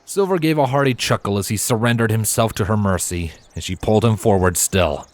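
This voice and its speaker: Casting: male story narrator, lower-pitched voice, expressive scripted read of fan fiction. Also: brisk.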